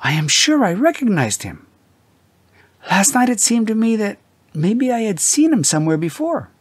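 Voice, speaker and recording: A man voicing an excitable, worried older wife in an audiobook, questioning and exclaiming with tender concern. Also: moderate pace, husky, no echo, full character voice. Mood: uneasy. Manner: higher-pitched, questioning, exclaiming.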